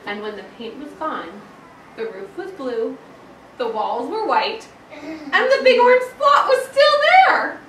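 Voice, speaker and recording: A woman primary school teacher reading a picture book aloud to a young class with expressive, emphatic storytelling. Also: high-pitched, unhurried. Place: indoor classroom, children present. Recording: echoey classroom from far off, some noise.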